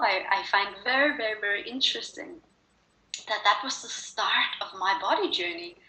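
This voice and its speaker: Bright, echoey Danish-accented woman telling her story. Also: mid-range voice. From Denmark. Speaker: interview guest, wellness speaker and coach. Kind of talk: personal storytelling in an interview.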